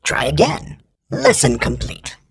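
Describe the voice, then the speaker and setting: A synthetic training droid for a video game. It sounds very husky and heavily gravelled, with a robotic filter. It issues formal, deadpan instructions and announcements, yet its pitch swings noticeably. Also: a little slow, heavily acted. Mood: stern, procedural. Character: clipped, emotionless robotic training droid giving combat drills. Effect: robotic voice processing. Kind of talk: scripted video game voice lines.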